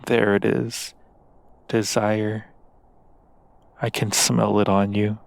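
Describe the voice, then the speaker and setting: A man's voice: on the low side, flat delivery, gravelly, dry recording. A man playing a seductive, coaxing demon in an ASMR roleplay, speaking with silky, formal persuasion to a mortal. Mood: seductive, hungry. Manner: slow, silky persuasion with formal, deliberate wording.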